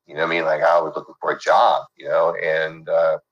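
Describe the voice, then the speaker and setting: Low-voiced middle-aged man, rambling guest. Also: flat delivery, brisk, fairly bright tone, slightly rough, lo-fi sound. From San Francisco, United States. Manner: rambling, with many fillers and restarts. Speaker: interview guest. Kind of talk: casual interview talk.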